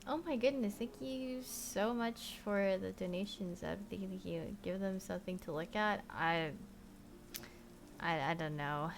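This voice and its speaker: A young woman born in Korea, chatting casually to stream viewers from her bedroom, rambling with lots of "like" and "you know" and trailing off softly. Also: medium pitch, a little slow, slight background noise. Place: bedroom at home.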